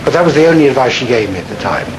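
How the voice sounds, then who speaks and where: A man's voice: mid-range voice, expressive, racing, noisy background. Understated man reminiscing. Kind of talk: reminiscing interview.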